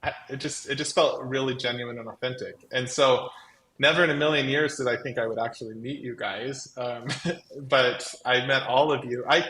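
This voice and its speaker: A man's voice: medium pitch, steady tone, normal speed, a bit bright. A man with a voice that carries, chatting casually and effusively as a guest on a live stream, telling his own story with lots of "like". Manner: chatty, effusive, peppers talk with "like". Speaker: guest, crafting company co-founder. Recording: roomy echo, faint background noise.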